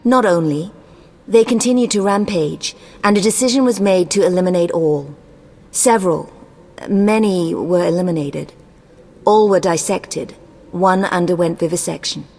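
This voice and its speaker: A woman's voice: on the low side, moderate pace, darkish tone. A woman playing an imperious, ruthless sorceress in a video game, haughty, formal and commanding. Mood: clinical, detached. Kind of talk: scripted video game dialogue. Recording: long cavernous reverb.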